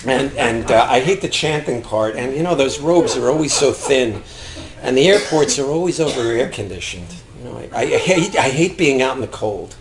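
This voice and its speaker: A man's voice: medium pitch, lively intonation, normal speed, natural voice. A man playing a boastful, merry husband in a stage comedy, exclaiming and joking, with laughter in his lines. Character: boastful, merry husband claiming to be a secret hitman. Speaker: stage actor. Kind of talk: live one-act comedy play dialogue. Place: indoor theatre stage, workshop performance. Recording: echoey stage recording with noise.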